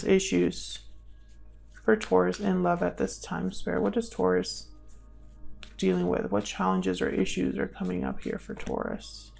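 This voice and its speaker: A woman's voice: fairly low pitch, creaky. A woman giving a casual, intuitive tarot reading as a channel host, musing aloud and sometimes trailing into murmured questions.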